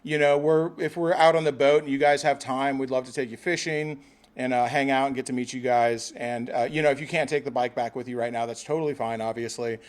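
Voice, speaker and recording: A man's voice: medium pitch, fairly even intonation, brisk. A man hosting a livestream and calling a prize winner on the phone, joking, swearing and laughing between mock-grand speeches. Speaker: host of a livestream giveaway. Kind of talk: casual phone call on a livestream.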